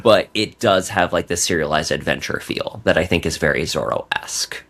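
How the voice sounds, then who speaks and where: Low-voiced man in casual discussion. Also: moderate pace, vocal fry, fairly bright tone.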